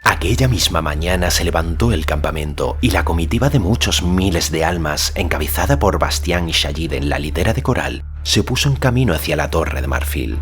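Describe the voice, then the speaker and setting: A man narrating a fantasy audiobook in a scripted, dramatic literary storytelling style. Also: sits low. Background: faint music.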